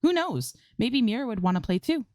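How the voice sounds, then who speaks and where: A woman's voice: fairly high pitch, sing-song, a little fast, darkish tone, dry recording, studio quality, pitched above the performer's own voice. A woman voicing a bubbly, easily flustered young female streamer in a fan fiction reading, playful and informal. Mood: playful, chatty.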